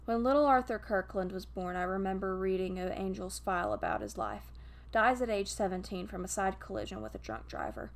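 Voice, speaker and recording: A woman voicing a gentle, grieving male guardian angel who tells a story in a wistful, intimate way. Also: mid-range voice, lively intonation, a little fast, natural voice.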